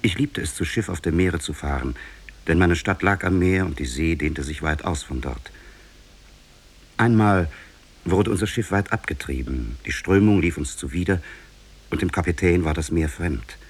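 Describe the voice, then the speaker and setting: An actor playing a grave shipwrecked prince, recounting his ordeal in solemn, measured, literary 1950s radio drama style. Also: low-pitched, animated, slightly rough, full character voice. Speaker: storytelling character in a radio play. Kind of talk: 1950s German radio drama, literary fairy-tale narration.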